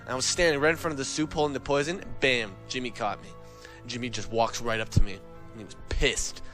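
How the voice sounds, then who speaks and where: A man's voice: medium pitch, fairly even intonation, brisk, a bit bright, faint music behind. A man telling a comic gaming anecdote in a casual, profane, dramatic and exasperated way. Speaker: comic storyteller on a gaming talk show.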